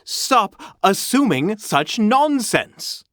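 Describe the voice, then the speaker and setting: A man's voice: sits high, big pitch swings, drawn-out words, a bit bright, no echo, clean studio sound, heavily acted. A man playing a smug, sardonic, theatrical mage in a fantasy audio drama. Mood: indignant. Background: faint music.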